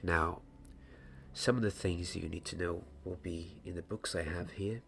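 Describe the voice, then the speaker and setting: Low, slow, hushed young man acting as a wandmaker. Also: fairly even intonation, gravelly, soft background music, full character voice. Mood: hushed, instructive. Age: 20s. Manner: slow, hushed, deliberate, sometimes slipping into whisper. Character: young wandmaker apprentice patiently teaching, hushed and mysterious. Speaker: ASMR roleplayer. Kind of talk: ASMR roleplay, soft-spoken.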